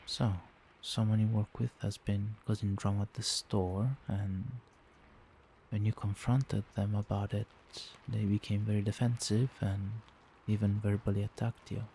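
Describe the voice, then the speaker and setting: A man playing a doting, protective vampire boyfriend in a soft-spoken, soothing and attentive ASMR roleplay. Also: on the low side, little pitch movement, a little slow, gravelly, slight background noise, rough recording. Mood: tender, concerned. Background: rain.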